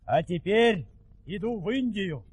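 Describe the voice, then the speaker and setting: An actor playing an easygoing fairy-tale helper in a measured, deliberate, theatrical audio-play style. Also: male voice, high-pitched, expressive, darkish tone, slightly rough, big-room sound, exaggerated voice. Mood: cheerful, unhurried.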